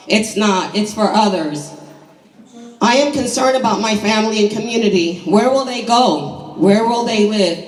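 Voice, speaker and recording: A hoarse woman in her sixties from New York City gives an emphatic, deliberate prepared speech at a community meeting. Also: medium pitch. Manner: emphatic, deliberate rhetorical delivery. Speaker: community housing activist. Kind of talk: prepared public speech at a town hall. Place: large indoor community hall. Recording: echoey hall, distant microphone.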